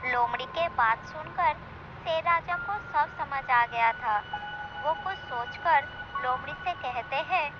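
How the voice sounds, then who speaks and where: A woman's voice: high-pitched, slow pace, over loud music. A woman narrator reading a scripted animal story for children. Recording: dull lo-fi sound with clear noise.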